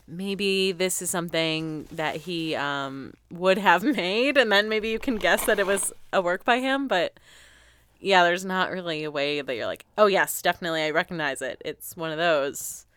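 A woman's voice: fairly low pitch, lively intonation, moderate pace, fairly bright tone, clear, resonant tone. A woman co-hosting a podcast, chatting casually with a teasing, playful manner. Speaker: podcast co-host discussing art. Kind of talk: casual chat.

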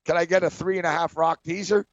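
Laughing sports talk host, dull dry sound. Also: male voice, a little high, steady tone, quick pace, no echo. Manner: laughs often, stumbles over words. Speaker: sports betting show host.

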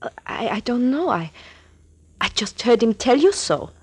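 A woman's voice: fairly high pitch, sing-song, unhurried, a little mellow, breathy edge, heavily acted. An actress playing a guarded, mysterious woman of twenty in 1940s radio drama style.

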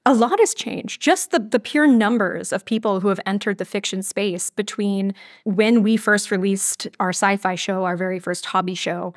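A woman's voice: mid-range voice, normal speed, no room sound. Dry-recorded woman in casual interview. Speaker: interview guest, audio fiction creator.

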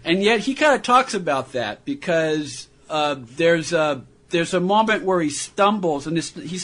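A man's voice: on the high side, fairly bright tone, a little hiss or hum. A man hosting a radio talk show, presenting and discussing with guests.

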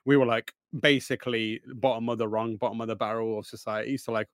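A man's voice: mid-range voice, flat delivery, fast, smooth, no room sound, clean studio sound. A man born in Pakistan and raised in East London, with an East London accent, guest-hosting a casual chat in a rambling, laughing way. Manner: chatty, rambling, laughs often. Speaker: guest host.

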